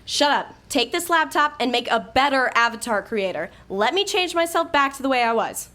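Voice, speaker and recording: A woman playing an impatient, sharp-tongued young coder who talks bluntly and sarcastically. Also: sits high, lively intonation, normal speed. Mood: irritated.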